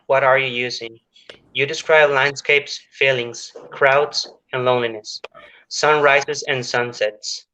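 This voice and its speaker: A man reads a poem in measured lines and stumbles now and then. His voice is bright and forceful. The call audio sounds muffled and lo-fi. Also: mid-range voice. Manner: measured reading with small stumbles. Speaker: poet reading a translation. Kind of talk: poetry recitation. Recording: dull lo-fi online call audio.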